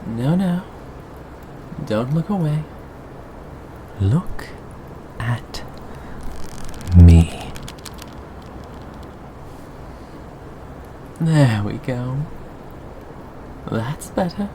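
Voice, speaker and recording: A performer of unclear sex playing a sadistic, possessive cannibal captor in an ASMR roleplay, lingering on words with mock tenderness and soft menace. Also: mid-range voice, sing-song, extremely slow, long pauses, noisy background. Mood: menacing, gloating. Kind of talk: ASMR roleplay, scripted monologue to listener.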